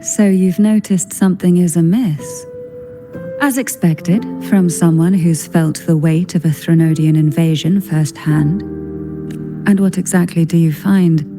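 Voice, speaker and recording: Slow, poised noblewoman, low-voiced. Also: fairly even intonation, music in the background. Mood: calm, probing. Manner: formal, poised, languid, measured. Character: elegant, enigmatic noblewoman, head of a powerful family. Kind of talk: video game story dialogue.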